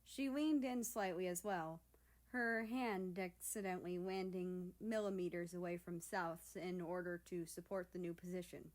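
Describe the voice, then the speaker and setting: A woman's voice: on the low side, fairly even intonation, normal speed, darkish tone, low-quality recording. A woman narrating scripted fiction as a story reader.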